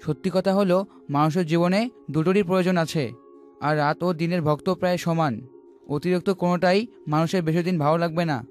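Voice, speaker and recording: Man as a high-voiced, proud sky spirit. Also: fairly even intonation, quick pace, natural voice. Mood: humbled, reasoning. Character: sun and moon as proud, reflective rival spirits. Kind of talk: animated folk-tale cartoon dubbing. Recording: music behind the voice.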